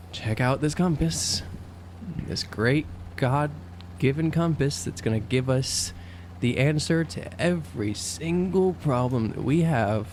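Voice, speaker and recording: A man playing a tabletop game, thinking aloud in halting, casual in-character discussion. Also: medium pitch, darkish tone. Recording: faint hum, slight background noise.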